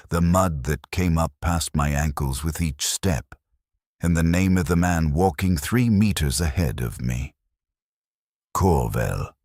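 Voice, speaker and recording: A man reading scripted first-person war fiction in a grave, measured manner with short clipped sentences. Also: extremely low pitch, darkish tone, no echo, studio quality. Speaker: narrator of science fiction war stories.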